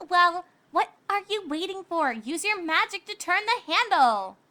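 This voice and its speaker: Haughty, shrill little filly pony. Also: female voice, very animated, measured pace, pushed and bright, heavily acted. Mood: bossy. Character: haughty, bossy, sneering rich filly pony.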